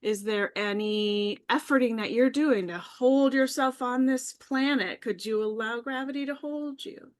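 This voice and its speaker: A woman with a fairly high voice chats in an easygoing way over a video call. She laughs easily and keeps a loose, conversational flow as a guest. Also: a little slow, hardly any pauses, smooth. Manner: chatty, easygoing, laughs readily. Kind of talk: casual live video chat.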